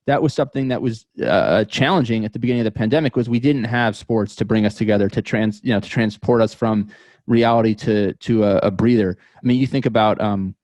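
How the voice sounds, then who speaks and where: Podcast guest: American male, thirties, lowish voice, close dry sound, thoughtful halting storyteller. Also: a little mellow, no echo. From New York metropolitan area, United States. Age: 30s. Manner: halting, thoughtful, repeats words as he goes. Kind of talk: reflective interview storytelling.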